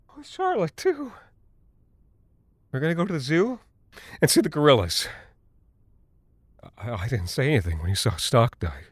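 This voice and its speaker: A man's voice: fairly high pitch, dry recording, exaggerated voice. A desperate space pilot and father coming apart with guilt. He rambles and stammers through a haunted horror monologue, recorded close and dry. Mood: frantic, disbelieving. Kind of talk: dramatic monologue in horror audio fiction.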